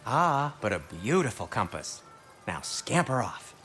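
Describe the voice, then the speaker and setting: A fretful, generous dwarf craftsman in a comic video game role. His medium-pitched voice moves up and down a lot, fussy and pedantic. Faint music sits behind. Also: very animated, unhurried, fairly bright tone.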